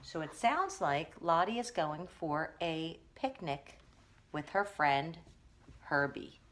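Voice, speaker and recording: A woman's voice: low voice, lively intonation, slow. A woman teacher reading a picture book aloud, stopping to explain things to young children.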